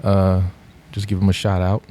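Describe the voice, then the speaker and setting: A middle-aged man from Los Angeles, a podcast guest telling his story in a relaxed, rambling way with lots of fillers. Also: sits low, moderate pace. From Los Angeles, USA. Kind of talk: casual podcast interview.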